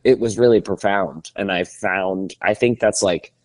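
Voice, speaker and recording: A man's voice: low voice, a little slow, a little mellow, dry recording. A man co-hosting a podcast, chatting casually and animatedly, laughing often. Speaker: podcast co-host. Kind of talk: casual chat.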